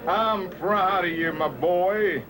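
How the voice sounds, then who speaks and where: A man's voice: high voice, slow. A man voicing a corny, pushy cartoon comedian and manager with hammy, wheedling patter, over a noisy crowd. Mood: smarmy. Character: corny, pushy male comic and talent manager. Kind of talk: stand-up joke patter.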